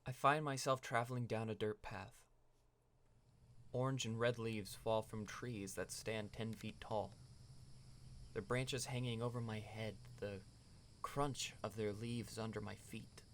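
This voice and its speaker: A young podcaster, acted by a man, reads his own brooding short story in a low voice. The delivery is reflective and deliberate, with dramatic pauses, over faint background noise. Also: fairly even intonation, fairly bright tone. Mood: entranced. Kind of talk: scripted first-person narration.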